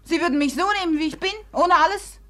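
An actress playing a proud, scornful, reckless society beauty in a theatrical, commanding manner in a radio play. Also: female voice, very high voice, quick pace, fairly bright tone. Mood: mocking disbelief. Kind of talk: German radio play acting.

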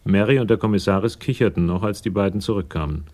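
An actor as the narrator of a German radio crime drama, telling the story in a sober, detached manner. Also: male voice, fairly low pitch, fast talker, a little mellow, close to an everyday voice.

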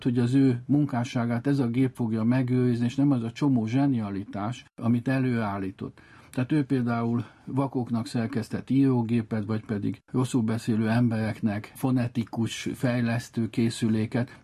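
A man's voice: medium pitch, warm tone, breathy edge. A man talking as an expert, explaining history in long, discursive storytelling sentences.

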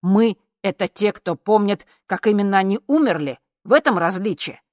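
A woman's voice: fairly low pitch, moderate pace, no room sound, clean studio sound, natural voice. A woman voicing a brash, earnest male bar musician who talks in slangy, insistent bravado. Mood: earnest, aggrieved.

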